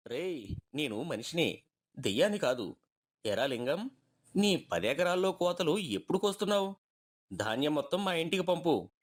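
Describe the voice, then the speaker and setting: A man's voice: fairly high pitch, sing-song, moderate pace, long pauses. A man voicing an assertive village husband with a blunt, lecturing manner in a moral story. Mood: bold, demanding.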